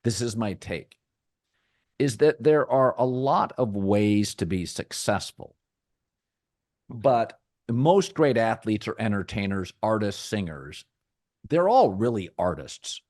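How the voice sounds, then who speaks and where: Deep-voiced middle-aged man talking sports. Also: sing-song, darkish tone, no room sound, studio quality. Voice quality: deep, rich voice. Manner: measured, thoughtful delivery with frequent restarts. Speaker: podcast host. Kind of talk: casual sports talk.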